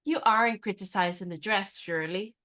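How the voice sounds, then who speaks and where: An actress in a radio play playing a spirited young woman disguised as a lady's maid, talking in a brisk, teasing, knowing way. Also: mid-range voice, bright, forceful tone, close to an everyday voice.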